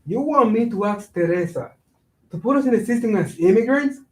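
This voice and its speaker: Guy playing a wary streetwise buddy, pretty high voice, blunt and slangy, stage reading, echoey room, mic far off. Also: lively intonation, fairly quick. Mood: incredulous, wary. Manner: blunt, skeptical, slangy retorts. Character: skeptical, cautious streetwise friend, struggling young father. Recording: roomy echo, distant microphone.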